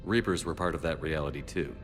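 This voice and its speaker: A man's voice: rumbling low, brisk. A man playing a no-nonsense military commander in a video game, terse, direct and authoritative. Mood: probing. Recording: reverberant room, game music behind.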